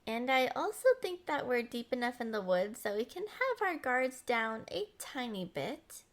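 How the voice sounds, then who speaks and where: A woman's voice: a little high, very animated, moderate pace. A woman playing a smitten, possessive young princess in a romantic roleplay audio, coy, entitled and playfully defensive. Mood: tender, smitten.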